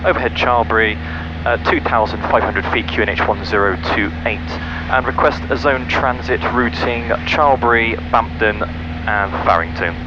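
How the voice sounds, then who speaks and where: A man's voice: mid-range voice, normal speed. A man flying as a private pilot, making radio calls and talking methodically through his cockpit checks over a headset. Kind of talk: radio calls and self-talk cockpit commentary. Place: small light aircraft cockpit in flight. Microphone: aviation headset. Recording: dull cockpit intercom, loud engine noise.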